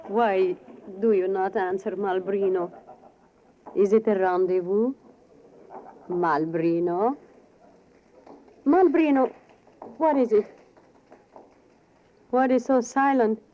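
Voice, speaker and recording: Actress as a slow, solemn blind mentalist. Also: female voice, mid-range voice, fairly even intonation, natural voice. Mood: anxious, pleading. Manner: formal, slow, theatrical. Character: blind female stage mentalist, solemn, soft, uneasy. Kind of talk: 1940s radio acting. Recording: muffled, noisy old radio broadcast.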